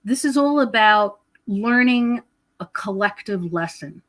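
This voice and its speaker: A woman's voice: mid-range voice, expressive, a little slow, fairly bright tone. A woman guest on a podcast, talking in an emphatic, preachy way about her beliefs, often repeating words and checking in with the listener. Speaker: podcast guest, author and researcher of prophecy. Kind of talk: conversational interview, spiritual explanation.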